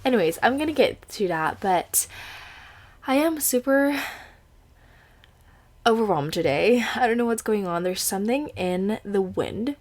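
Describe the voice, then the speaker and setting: A woman's voice: medium pitch, lively intonation, measured pace, pauses often, a little mellow. A woman in her twenties with an Indonesian accent, who speaks English as a second language. She hosts her podcast in a rambling, giggly casual chat with many restarts, and she sounds teary after crying. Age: 20s. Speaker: podcast host.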